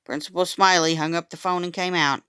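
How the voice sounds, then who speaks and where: A woman reading a children's story aloud in an animated, expressive way, acting out the dialogue as she goes. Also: lowish voice, a little fast, clean tone, dry recording, studio quality.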